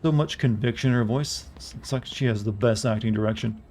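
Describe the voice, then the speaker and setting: A man streaming a game, chatting wryly with joking asides, his voice a bit raspy. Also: medium pitch, brisk, darkish tone. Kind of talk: live gameplay commentary. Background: faint game music.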